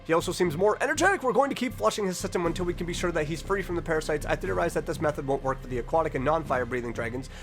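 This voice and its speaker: A man's voice: a little high, very fast, few pauses, over music. A man hosting a reaction video, reading text aloud and commenting casually, sometimes stumbling over words and correcting himself. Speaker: online reaction video host.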